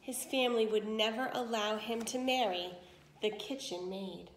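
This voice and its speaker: A woman reading a children's story aloud expressively, pausing to put questions to listeners and explain words. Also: a little high, fairly even intonation, echoey room, slight background noise, poor audio. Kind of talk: expressive read-aloud narration with asides to listeners.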